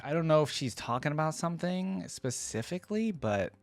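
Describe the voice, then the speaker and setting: A man's voice: fairly high pitch, expressive, a little fast, no echo. A man hosting a reaction video with casual, off-the-cuff commentary, musing aloud as he goes.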